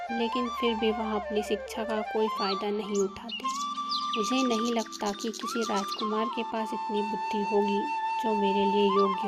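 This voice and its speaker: A woman's voice: mid-range voice, natural voice. A woman voicing a clever, principled young princess in a cartoon moral story, formal and firm as she reasons. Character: clever, principled young princess advising her royal father. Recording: loud music behind the voice.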